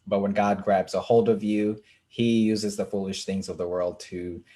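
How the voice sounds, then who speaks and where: A young man from Kenya, an author being interviewed, speaking earnestly with frequent pauses. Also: fairly low pitch, flat delivery, mellow. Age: young adult. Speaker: author and writing coach, guest. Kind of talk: casual interview talk.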